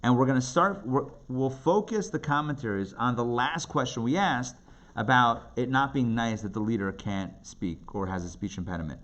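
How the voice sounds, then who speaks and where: A man's voice: mid-range voice, normal speed, fairly bright tone, highs cut off. A man teaching a class as a rabbi, explaining texts in plain talk with emphatic repetition and rhetorical questions. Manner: emphatic, repeats phrases and asks rhetorical questions. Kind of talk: interactive lecture, explaining commentaries in plain talk. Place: indoor class with participants. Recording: live classroom recording with background noise.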